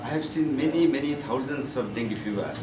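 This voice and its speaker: A man with a Bangladeshi accent giving a medical conference lecture as a professor, in halting, repetitive, emphatic phrases. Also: medium pitch. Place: conference meeting room. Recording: echoey, noisy room, distant microphone.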